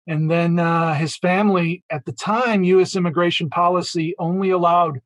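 A man with a slightly raised pitch talks as an interview guest. His answers run long and detailed, with the odd "uh" along the way. The sound is dry and close, with no room around it. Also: steady tone, moderate pace, dry recording. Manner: fluent, detailed storytelling with occasional fillers. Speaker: author and marketing executive, guest.

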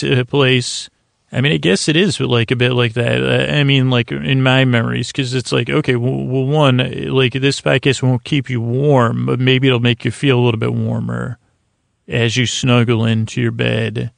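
Very creaky, smoky man rambling soothingly. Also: medium pitch, dry recording. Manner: meandering, halting, self-deprecating. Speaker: podcast host. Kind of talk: rambling, soothing bedtime monologue.

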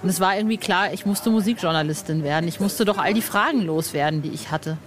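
A woman's voice: on the low side, fast. A middle-aged woman hosting an interview as casual chat, informal and enthusiastic in the way she talks. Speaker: podcast interviewer, music journalist. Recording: noisy background ambience.

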